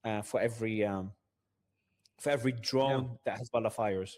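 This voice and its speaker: A man's voice: on the low side, measured pace, a little mellow. A man talking in an unscripted, conversational way as he discusses the news.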